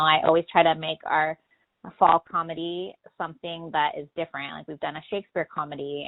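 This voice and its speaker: Casting: American woman, 40s, drama teacher in interview, low pitch, frequent vocal fry, dulled phone audio. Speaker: middle school drama teacher and director. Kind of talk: earnest interview answers. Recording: muffled, band-limited telephone interview.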